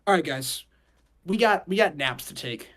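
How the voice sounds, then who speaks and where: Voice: mid-range voice, expressive, normal speed, a bit bright. A young adult podcast host chatting casually in a group, jokey and given to repeating phrases.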